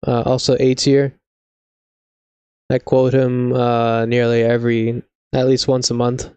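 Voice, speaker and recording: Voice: mid-range voice, monotone, unhurried, a little mellow, smooth, dry recording, lo-fi sound. A laid-back, slangy live streamer of unclear sex, chatting casually with mock seriousness. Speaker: video game live streamer.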